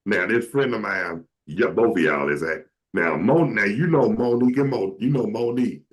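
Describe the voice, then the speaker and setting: A man with a deep, carrying voice telling casual, joking stories to friends as a talk show host, slangy and quoting people as he goes. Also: normal speed. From southern United States. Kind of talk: casual comic storytelling with friends.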